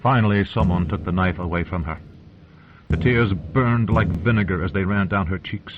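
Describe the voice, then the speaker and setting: A man's voice: low-pitched, normal speed, narrow, tinny audio, background noise. A man narrating an audiobook as dramatic scripted storytelling. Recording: loud music behind narration. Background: loud music.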